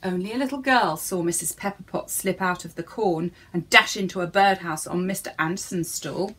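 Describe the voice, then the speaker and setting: A woman's voice: lowish voice, moderate pace, fairly bright tone, slightly rough. A woman reading a storybook to young children in a theatrical way, addressing her listeners directly.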